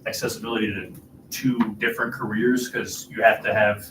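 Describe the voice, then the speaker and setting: A man's voice: on the low side, fairly even intonation, brisk, background noise. A young man from Rensselaer, New York, on a youth panel, speaking thoughtfully with some hesitation. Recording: echoey room, distant mic.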